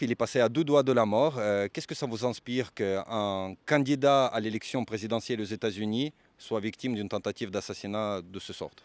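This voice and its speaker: A man's voice: lowish voice, no room sound. A man working as a street interviewer, asking questions politely and formally and giving his commentary. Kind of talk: vox pop interviewing and commentary.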